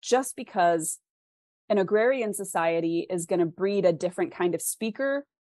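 Female author guest, West Coast American accent. Low-ish voice, dry close sound, articulate conversational explanations. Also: lively intonation, moderate pace, warm tone, dry recording. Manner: thoughtful, articulate, explains through rhetorical questions.

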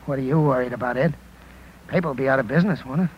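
A man playing a battered, shaken newspaper reporter in 1950s radio drama style, weary and halting. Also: medium pitch, muffled recording, close to an everyday voice. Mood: bitter. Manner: halting, weary, broken phrases. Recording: noisy vintage 1950s radio recording.

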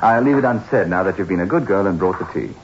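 Sceptical writer husband, youngish, lowish and very animated, condescending, for vintage radio horror; muffled recording. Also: male voice. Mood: affable, teasing. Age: young adult. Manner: brisk, dismissive, condescending. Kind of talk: 1960s radio horror drama acting. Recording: dull, noisy old radio recording.

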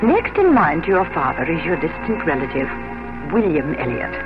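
An actress playing a worldly, motherly older gentlewoman in a 1950s radio drama, speaking in a measured, formal, theatrical way. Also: sits low, very animated. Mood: coaxing, knowing. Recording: muffled 1950s radio recording, music.

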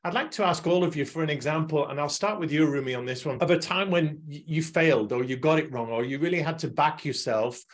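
A man's voice: on the high side, fast talker, few pauses. A man hosting a panel discussion, framing topics and putting direct questions to the guests. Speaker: host, moderator. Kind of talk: moderating a panel.

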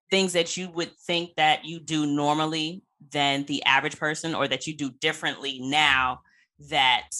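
A woman's voice: sits low, sharp tone. A woman hosting a casual chat interview, informal and affirming, with colloquial phrasing. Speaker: podcast host, interviewer.

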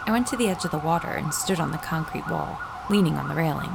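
A middle-aged mother who runs and narrates her own story, in a low voice with gravelly fry. She is understated and matter-of-fact, against a noisy outdoor soundscape of sirens and traffic in an audio drama. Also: expressive, quick pace. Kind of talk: first-person audio drama narration and dialogue.

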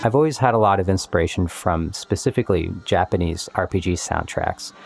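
Low-voiced man, thoughtful, music behind. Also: fairly quick, gravelly. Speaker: composer and musician interviewed about his work. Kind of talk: reflective interview talk.

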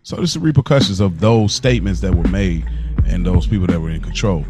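A man with a fairly low voice talks as a podcast co-host, with music clearly playing along. He is earnest and reflective, and he keeps his wording informal and full of slang. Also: fast. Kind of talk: casual podcast conversation.

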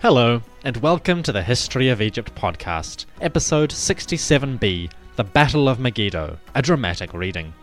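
A man hosting a history podcast, reading scripted narration in a measured way with theatrical emphasis. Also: mid-range voice, big pitch swings, soft background music.

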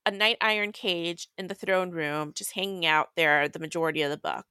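A woman's voice: lowish voice, fairly even intonation, brisk, pushed and bright, clean tone, dry recording, studio quality. A young adult woman hosting a podcast, recapping a book in casual, irreverent chat with crude humor and swearing. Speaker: podcast host.

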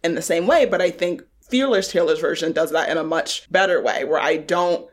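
A woman in her twenties, a music journalist guest talking in a casual podcast discussion, animated and quick with laughing asides. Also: low voice. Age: 20s.

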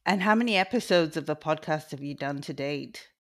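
A woman's voice: low voice, a little fast. A woman hosting a podcast, asking encouraging questions and prompting her guest again and again. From England. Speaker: podcast host. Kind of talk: casual podcast interview.

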